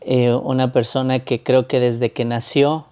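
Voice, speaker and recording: A man's voice: mid-range voice, little pitch movement, measured pace, dark tone, smooth. A man hosting a podcast, friendly and guiding his guest back with questions. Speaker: podcast host. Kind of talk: interview questions.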